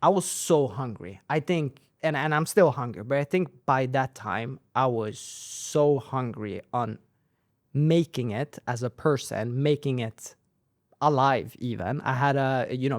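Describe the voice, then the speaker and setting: A man's voice: mid-range voice, unhurried, no echo, clean studio sound. A young man with Persian as his first language, raised in Sweden, telling his story in a casual interview and driving points home by repeating phrases. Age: young adult. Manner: animated, tag questions, repeats phrases for emphasis. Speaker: guest, company founder.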